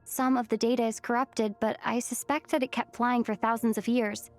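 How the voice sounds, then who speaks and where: Woman playing an earnest young traveler, high voice. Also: level tone, moderate pace, natural voice. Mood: pensive. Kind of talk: video game cutscene voice acting. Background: background music.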